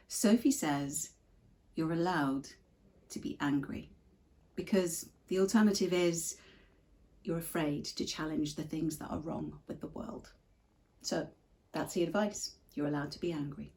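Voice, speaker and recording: Woman, low pitch, author, book introduction, trivia question delivery. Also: a little slow, vocal fry, dark tone.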